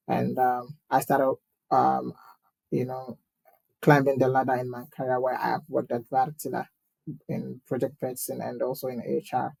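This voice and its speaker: A man in his thirties from Ghana speaks in a steady, flat tone with a gravelly edge, in a dry room with no echo. He is a candidate answering interview questions, measured and full of pauses and restarts. His delivery stays close to one level throughout. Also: medium pitch. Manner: measured, with many fillers and repeated words. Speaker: guest, municipal election candidate.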